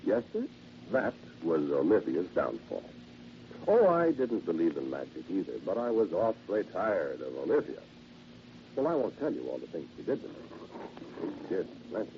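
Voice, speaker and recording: An actor playing a polite, halting, quietly sinister henpecked salesman in a theatrical vintage radio horror monologue. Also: low voice, wildly swooping pitch, heavily acted. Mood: sly, insistent. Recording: noisy, dull vintage radio recording.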